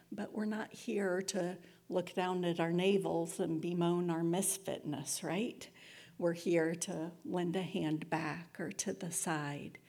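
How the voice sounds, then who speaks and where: This is a woman giving an inspirational community talk. Her voice sits a little low, with a husky grain and frequent creak. She is reflective and earnest, setting out each thought in a measured way. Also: darkish tone.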